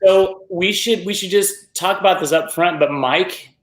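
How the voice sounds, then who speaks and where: A man's voice: high voice, measured pace, a bit bright, reverberant. A man co-hosting a casual chat show, chatty and joking at his own expense. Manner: chatty, self-deprecating, joking. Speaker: co-host.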